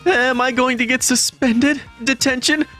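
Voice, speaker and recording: A man's voice: very high-pitched, steady tone, a little slow, sharp tone, heavily acted. A man voicing a gloomy, introverted, easily panicked teenage schoolboy who narrates a manga story in a self-deprecating, dramatic way. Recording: music bed under the voice. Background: music.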